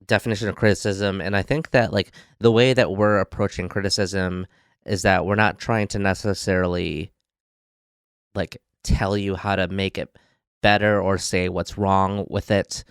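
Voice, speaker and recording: Low-voiced Midwestern man, smooth and dry. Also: steady tone, normal speed, no echo. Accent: Midwestern American. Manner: thoughtful, lots of "like" fillers. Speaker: podcast co-host. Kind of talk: casual chat. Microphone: podcasting mic.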